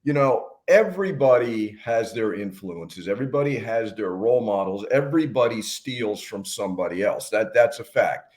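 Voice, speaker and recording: A man's voice: on the low side, animated, normal speed, few pauses, a little mellow. A man hosts a casual interview, with a voice that rises and falls a lot. He talks conversationally and likes to quote sayings while asking his questions. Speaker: host.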